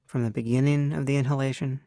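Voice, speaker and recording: A man with a mid-pitched, noticeably creaky voice teaches a guided meditation. He gives measured, unhurried instructions and leaves long pauses between phrases. The recording is very dry, with no room sound on the voice. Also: little pitch movement, dark tone, no room sound.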